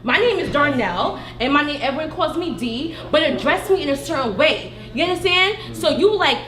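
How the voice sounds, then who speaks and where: A woman on a live panel, talking in an animated, storytelling way and checking often for agreement. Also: high-pitched, normal speed. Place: echoey art venue, live taping. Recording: roomy live recording with music behind.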